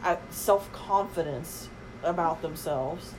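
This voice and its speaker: Middle-aged woman, really deep voice, blunt, no-nonsense, bit of hiss. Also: slow pace, rough recording. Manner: blunt, matter-of-fact. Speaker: guest, the host's mother. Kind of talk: casual chat.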